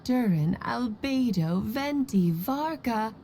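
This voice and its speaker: A woman's voice: on the high side, animated, slow, background noise. A woman voicing a playful, self-assured mage mother in a video game, with an airy, teasing, musing manner.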